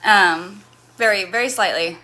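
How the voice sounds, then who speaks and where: A woman's voice: medium pitch, measured pace, bright, forceful tone. A woman in her thirties from Missouri with a slight Missouri accent, chatting casually on a livestream and answering chat comments in a rambling way. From Missouri, USA.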